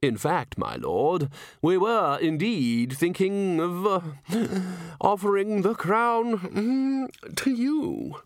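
A man voicing a scheming upper-class gentleman, formal, condescending and impatient, in a comic fantasy audiobook. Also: high-pitched, big pitch swings, slow pace, dry recording, voice pushed up, exaggerated voice. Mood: wheedling. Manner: formal, wheedling, pompous.

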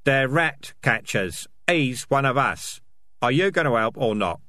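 A scrappy male rat fighter acted by a man in a storybook reading, pitched up and hard-edged. He is blunt, snappish and suspicious, fiercely loyal to his clan. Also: animated, a little slow, pushed and bright, no echo. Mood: urgent.

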